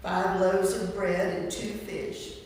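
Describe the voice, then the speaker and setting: Slow woman reading biblical character, very echoey. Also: medium pitch, little pitch movement, darkish tone. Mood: concerned. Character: biblical characters, a king and disciples. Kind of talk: amateur staged scripture reading. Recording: noisy, echoey church hall from far off.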